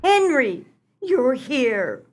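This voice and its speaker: A woman's voice: high voice, very animated, drawn-out words, slight background noise, exaggerated voice. A woman playing a sorrowful old farm wife and mother in a comic radio melodrama. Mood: anxious, lamenting.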